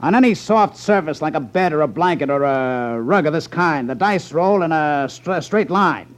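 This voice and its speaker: An actor playing a menacing, short-tempered crooked gambling boss with an American accent and a gruff, sneering manner in a 1950s radio drama. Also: male voice, on the high side, expressive, normal speed, close to an everyday voice. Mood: condescending.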